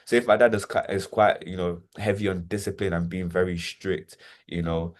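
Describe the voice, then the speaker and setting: Man, 20s, from Nigeria; low-voiced, halting testimony. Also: fairly even intonation. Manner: hesitant, repeats words, many fillers. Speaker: interview guest. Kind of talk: reflective personal testimony.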